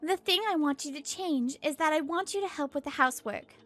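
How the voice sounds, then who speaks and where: A woman's voice: high voice, a little fast, fairly bright tone, faint music behind. A woman voicing an earnest pregnant wife who narrates a manga dub, fed up but hopeful.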